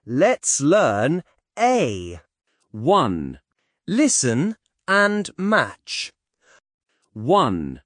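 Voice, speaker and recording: A woman narrating English textbook audio, announcing unit titles, numbers and instructions slowly and clearly. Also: deep voice, huge pitch swings, no room sound, clean studio sound. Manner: slow, clearly enunciated announcing.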